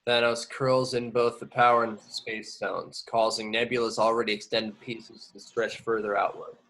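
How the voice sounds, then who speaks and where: A man's voice: medium pitch, level tone, normal speed, fairly bright tone, rough recording. A man reading scripted action descriptions as narrator of an amateur table read. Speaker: reader of stage directions.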